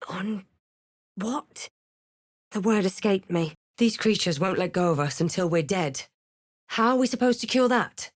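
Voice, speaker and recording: Woman acting a wry, measured adventurer heroine. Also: mid-range voice, no room sound, clean studio sound, natural voice. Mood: tense, reflective. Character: young female adventurer hero, wry, wary and thoughtful. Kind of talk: video game character voice lines.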